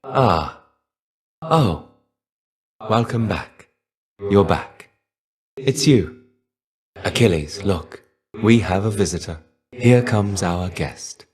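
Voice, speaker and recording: A man playing a weary, melancholy shade of a fallen ancient Greek warrior in a video game, measured, wistful and dryly understated. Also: lowish voice, animated. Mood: mildly welcoming. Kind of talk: video game character voice lines.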